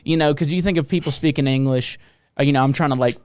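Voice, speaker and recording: Southern dude hosting a podcast, kinda high smooth voice, dry close mic, cusses a lot. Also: male voice, quick pace, darkish tone, no room sound. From American South. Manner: laid-back, swears freely, lots of fillers. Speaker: podcast host and touring musician. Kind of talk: casual podcast chat.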